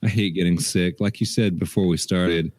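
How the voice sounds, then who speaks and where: Podcast co-host, young man, deep voice, laid-back storytelling, lots of restarts, dry studio sound. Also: moderate pace, no room sound. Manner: relaxed, rambling, restarts a lot. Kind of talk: casual chat.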